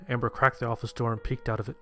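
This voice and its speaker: Guy playing nervous young survivor dude narrating a horror story, plain flat delivery, music behind him. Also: mid-range voice, racing, close to an everyday voice. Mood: narrating, tense. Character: sheltered, anxious young man narrating his survival story. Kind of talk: first-person horror story narration with dialogue.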